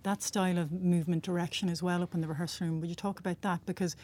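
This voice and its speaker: A woman's voice: lowish voice, little pitch movement, fast talker, darkish tone. A woman interviewer asking thoughtful questions in a searching way.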